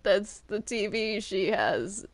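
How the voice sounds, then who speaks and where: A woman's voice: medium pitch, very animated, takes it slowly, dry recording. A woman chatting casually in a roleplay game, hesitant and often repeating her words. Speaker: player in a Minecraft roleplay.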